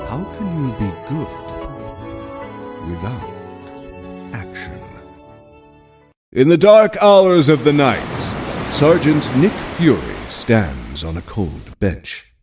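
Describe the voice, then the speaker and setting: A man narrating an audio drama from a script in a dramatic storytelling style. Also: mid-range voice.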